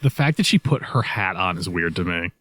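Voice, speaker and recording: A man's voice: deep voice, animated, a little fast, fairly bright tone, dry recording. A man co-hosting a video game let's play in casual, joking chat, with rambling, sweary, nerdy tangents.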